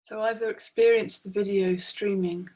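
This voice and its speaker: A woman guiding a meditation in short, hypnotic phrases with long pauses, speaking as a narrator to a listener. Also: mid-range voice, steady tone, darkish tone, poor audio. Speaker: meditation guide. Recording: clearly audible background noise, ambient soundscape. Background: ambient soundscape.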